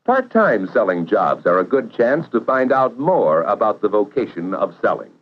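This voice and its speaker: A man narrating a 1950s educational film in a measured, instructive way, posing questions to the viewer. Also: lowish voice, big pitch swings, a little hiss or hum. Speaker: narrator of vocational training film. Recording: dull vintage 1950s film soundtrack.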